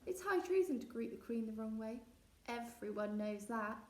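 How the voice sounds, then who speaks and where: A woman's voice: a little high, expressive, normal speed, lightly acted. Roomy distant recording, woman as bossy mischievous boy, taunting, know-it-all, storybook reading. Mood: menacing, teasing. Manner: taunting, bossy, mock-authoritative. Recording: roomy echo, distant mic.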